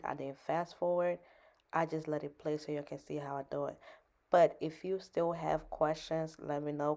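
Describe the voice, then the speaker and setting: A woman's voice: low-pitched, vocal fry, dark tone. A woman from Liberia with a Liberian accent, chatting casually and playfully as a beauty and fashion vlogger walking through a hair tutorial. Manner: chatty, playful, self-assured.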